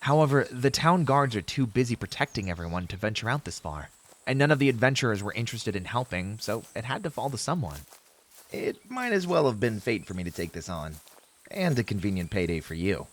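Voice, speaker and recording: A man's voice: lowish voice, sing-song, fairly quick. A man playing an earnest, idealistic young novice hero in a fantasy audio roleplay, speaking in formal heroic phrases with hesitant asides to a companion. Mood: friendly, modest.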